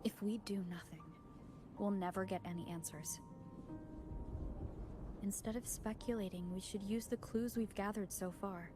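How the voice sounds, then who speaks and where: Female actor for reassuring young woman adventurer in a game, medium register, measured and pragmatic, over music. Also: medium pitch, fairly even intonation, natural voice. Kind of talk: video game voice acting. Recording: background music under dialogue.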